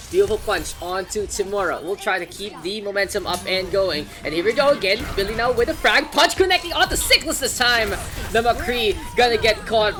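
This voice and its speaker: A man with a Filipino accent casting a live online game match, slightly hoarse, his play-by-play climbing louder when the action heats up. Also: on the high side. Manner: rapid play-by-play, rising louder in action calls. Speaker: esports caster.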